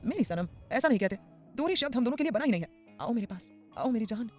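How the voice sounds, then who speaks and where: A ruthless, obsessed male mafia boss, as a woman narrator puts him on, growling threats and possessive promises in an audio story. His words tumble out at a very fast clip over faint background music. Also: fairly high pitch, expressive, close to an everyday voice.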